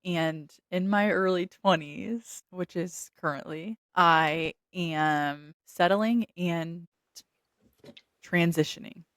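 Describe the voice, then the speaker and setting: A woman in her early twenties co-hosting a family podcast, speaking slowly and thoughtfully. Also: lowish voice, fairly bright tone, no room sound. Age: 20s. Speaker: podcast co-host. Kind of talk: casual podcast chat.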